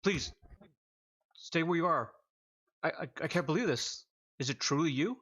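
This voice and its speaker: A man's voice: mid-range voice, normal speed, a bit bright, dull audio, close to an everyday voice. A man voicing a young male resistance corporal in his twenties, stammering and reverent. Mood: awed, earnest. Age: 20s.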